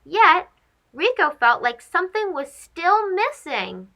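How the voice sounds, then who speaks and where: A woman's voice: very high-pitched, slow pace. A woman hosting a children's read-aloud, narrating a storybook expressively and speaking directly to young listeners. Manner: animated, addressing young children.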